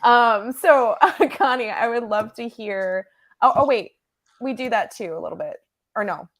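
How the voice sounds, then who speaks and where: A woman in her late twenties hosting a casual video call, bubbly and quick to laugh. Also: fairly high pitch. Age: 20s. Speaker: host. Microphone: webcam on video call.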